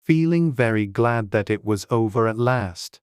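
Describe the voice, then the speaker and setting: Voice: mid-range voice, lively intonation, a little slow, darkish tone, smooth, no echo, clean studio sound. A narrator reading a storybook aloud as scripted audiobook narration.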